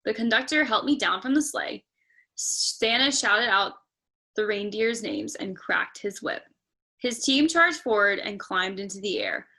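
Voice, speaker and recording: A woman's voice: medium pitch, sing-song, a little slow, sharp tone, poor audio. A woman reading a children's picture book aloud expressively, with chatty asides spoken directly to young listeners. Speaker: storytime reader.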